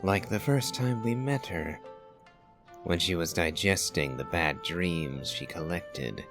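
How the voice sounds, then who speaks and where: A man muses over a video game in a very low voice. He talks slowly and deliberately, in wordy, pondering phrases, with music clearly playing behind him. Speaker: game player and commentator. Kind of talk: slow, musing game commentary and dialogue reading.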